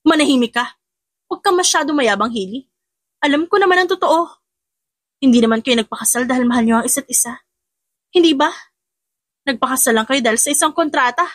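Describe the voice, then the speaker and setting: A woman voicing an obsessed, scheming young rival with a loud, defiant, accusing delivery in an audiobook drama. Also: high-pitched, animated, a little fast, long pauses, no room sound, clean studio sound. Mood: spiteful, defiant.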